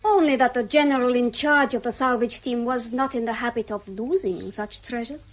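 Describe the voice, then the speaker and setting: An actress playing a dutiful, self-assured Soviet research officer, formal in manner, in a radio drama. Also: female voice, fairly high pitch, normal speed, natural voice, low-quality recording. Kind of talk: British radio drama acting.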